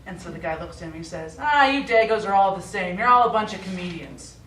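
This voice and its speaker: A woman's voice: mid-range voice, fast, fairly bright tone, lightly acted. An actress on stage playing the exasperated but caring adult daughter of a forgetful father, speaking in an insistent, pointed way. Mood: joking. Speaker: stage actor. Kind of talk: live one-act stage play acting. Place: small theatre stage. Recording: echoey theatre, distant mic, faint noise.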